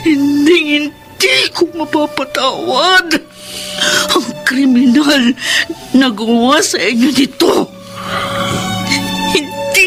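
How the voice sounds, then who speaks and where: A high, anguished voice over a music bed. She is a bitter, grieving daughter in a radio drama, drawing out her words with heavy emphasis and unforgiving anger. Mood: anguished, vowing. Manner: emphatic, drawn-out emotional delivery. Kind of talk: Filipino radio drama acting.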